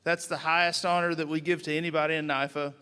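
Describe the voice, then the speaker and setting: Bright, smooth-voiced man emceeing a banquet. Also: a little high, little pitch movement, quick pace. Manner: informal, hesitant, many fillers. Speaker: organization official and emcee. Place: large banquet hall. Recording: reverberant banquet hall.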